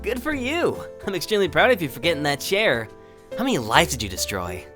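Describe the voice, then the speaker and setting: A woman's voice: sits low, very animated, a little fast, over music. A woman playing a teasing, sardonic young woman in a fan audio drama, playful and informal.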